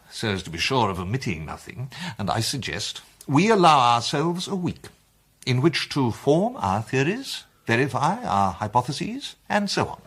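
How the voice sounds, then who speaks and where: Pompous english club chairman in a radio play, very lively pitch, bit husky, speechy and formal. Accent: English, upper-middle-class. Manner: formal, oratorical, savouring his phrases. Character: pompous, self-satisfied male president of a gentlemanly detective club. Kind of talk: 1920s-set British radio play acting.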